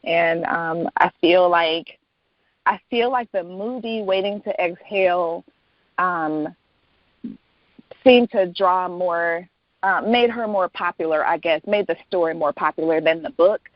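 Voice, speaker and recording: A woman's voice: on the low side, measured pace, no room sound. A woman speaking as an interview guest and author, chatting casually in a reflective, conversational way. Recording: dull lo-fi sound.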